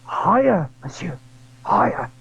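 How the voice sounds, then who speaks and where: Through a slightly muffled old recording comes a man acting a worried, courteous landlord in an adventure audio drama. His husky voice is lively in pitch, earnest and confiding, with a halting delivery. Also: heavily acted. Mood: secretive, earnest.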